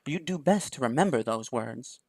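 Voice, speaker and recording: A man's voice: medium pitch, animated, moderate pace, husky, no echo, clean studio sound. A man voicing a stern, self-righteous woman priestess who scolds coldly. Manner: cold, reproachful.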